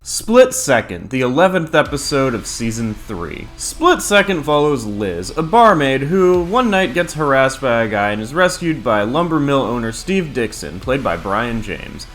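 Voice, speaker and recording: A man's voice: medium pitch, sing-song, unhurried, few pauses, fairly bright tone, soft background music. A man in his thirties narrating a scripted countdown review in a crude, profane, opinionated way. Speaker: video host and reviewer.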